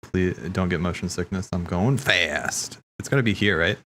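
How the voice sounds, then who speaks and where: A man's voice: low voice, normal speed, bright, forceful tone, slight background noise. A man hosting a casual watch party, rambling and thinking aloud in slangy speech as he comments. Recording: game music playing behind. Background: video game cutscene music.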